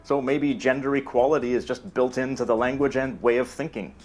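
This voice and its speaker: A man giving scripted educational narration in a steady, explanatory delivery. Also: medium pitch, fairly quick. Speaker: narrator of a language explainer video. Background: faint music.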